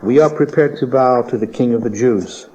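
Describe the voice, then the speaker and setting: A man's voice: mid-range voice, moderate pace, a little mellow, slightly rough, natural voice. An actor playing a proud, clever young wise man from the East in a Bible audio drama, lofty and declamatory. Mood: firm, courteous. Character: proud young male magus, haughty, clever Eastern sage. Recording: dull cassette tape audio drama.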